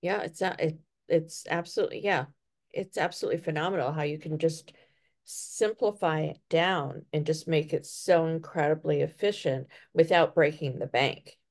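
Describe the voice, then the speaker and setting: A middle-aged woman hosting an interview over a video call, chatting casually and agreeing readily. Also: low-pitched. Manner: affirming, quick agreeable interjections. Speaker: podcast host. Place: home room.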